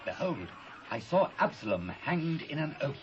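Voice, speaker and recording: A man's voice: on the high side, very animated, moderate pace, some chatter behind. A British man playing an earnest, principled common soldier in a dramatised scripture reading in archaic English. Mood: shaken. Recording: muffled cassette transfer, clear background noise.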